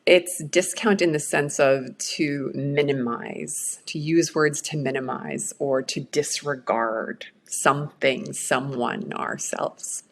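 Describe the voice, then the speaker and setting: A woman's voice: extremely low pitch, fairly even intonation, slow pace, creaky, hardly any pauses, a little hiss or hum. A middle-aged woman whose first language is Swedish, giving an earnest, reflective workshop talk on change management.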